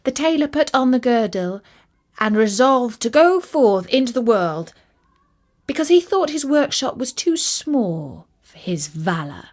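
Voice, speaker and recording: A woman narrates a children's fairytale at an unhurried pace. Her delivery is expressive and deliberate, with a very animated rise and fall in pitch. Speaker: storyteller.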